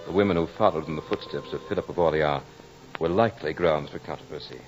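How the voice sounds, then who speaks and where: An actor playing a brooding aristocratic courtier in an old radio drama, telling his tale in a measured, florid, dramatic way. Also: very deep voice, faint music behind. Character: brooding aristocratic French courtier recounting a fatal duel. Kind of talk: 1940s radio drama monologue. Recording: muffled old radio recording.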